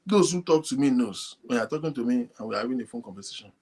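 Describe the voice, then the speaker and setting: Man, breathy voice, soft-spoken, casual talk to an audience. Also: medium pitch, quick pace. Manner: speaks softly, animated, addresses viewers directly. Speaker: online show host. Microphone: phone linked to a computer.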